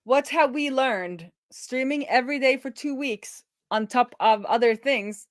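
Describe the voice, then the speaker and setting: A woman's voice: high-pitched, a little slow, dry recording, studio quality. A middle-aged woman hosting a livestream in casual, conversational chat. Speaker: livestream host.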